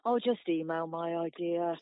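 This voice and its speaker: A woman's voice: fairly low pitch, a little slow, clear, resonant tone, no room sound, natural voice. A woman playing a frazzled, eager mother with a flustered, rambling manner in an amateur radio play. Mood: apologetic, rambling. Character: Helen, frazzled, eager mother at community meeting. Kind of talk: amateur radio play, video-call meeting. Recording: thin, dry phone line.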